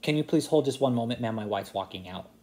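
A man's voice: medium pitch, lively intonation, fast talker, darkish tone, a little hiss or hum. A man in his thirties, talking on a phone call in a polite, hesitant way, recorded close up indoors at home. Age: 30s. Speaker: scam-baiter vlogger. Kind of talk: phone conversation with asides to camera. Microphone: phone or laptop camera close in front.

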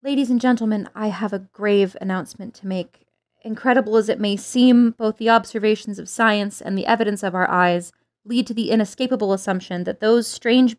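A woman playing a grave radio news announcer in a drama, reading urgent bulletins in a formal, measured way. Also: mid-range voice, natural voice. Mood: grave, solemn. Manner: formal, measured, serious delivery.

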